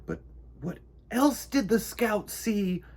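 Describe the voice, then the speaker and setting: A man voicing a timid, clumsy little bee in a storybook, squeaky and pleading. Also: lively intonation, slow pace, darkish tone, exaggerated voice. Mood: worried.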